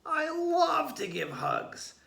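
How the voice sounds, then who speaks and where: A man voicing a huge, eager storybook animal that loves to hug, with a drawn-out delivery. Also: very high voice, wildly swooping pitch, gravelly, pushed and bright, roomy sound, voice pushed up, full character voice.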